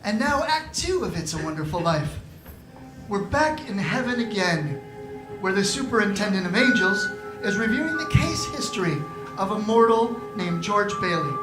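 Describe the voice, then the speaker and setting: A woman's voice: medium pitch, lively intonation, moderate pace. Woman announcer in a roomy hall. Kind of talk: live radio-show announcing. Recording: roomy live stage recording, music behind.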